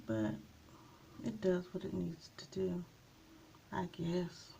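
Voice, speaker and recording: A woman chatting casually through a makeup tutorial, her voice slightly raspy from a coming cold, unhurried and trailing off with small pauses. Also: lowish voice, animated, dark tone, a little hiss or hum. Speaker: beauty vlogger.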